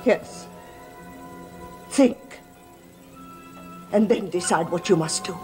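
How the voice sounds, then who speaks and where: An older actress playing a stern servant, fiercely loyal to her master, in a gothic soap opera, speaking gravely and emphatically. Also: on the low side, takes it slowly, a little mellow. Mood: ominous. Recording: vintage TV soundtrack with music underneath.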